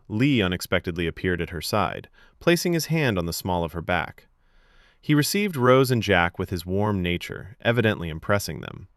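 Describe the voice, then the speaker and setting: Deep-voiced man reading fiction expressively. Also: a bit bright, no echo, clean studio sound. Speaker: fiction podcast narrator. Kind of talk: scripted audiobook narration.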